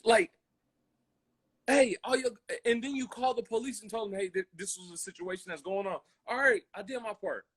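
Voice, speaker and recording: A man's voice: sits high, normal speed, a bit bright, slightly rough. An American man co-hosting a casual, impassioned podcast, talking emphatically, repeating phrases and acting out imagined quotes. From military family, grew up in Germany, Colorado and Hawaii. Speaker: podcast co-host.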